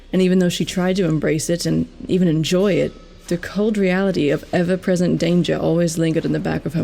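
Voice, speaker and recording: A woman's voice: lowish voice, gravelly, mellow. A woman giving scripted, dramatic story narration for an audio drama.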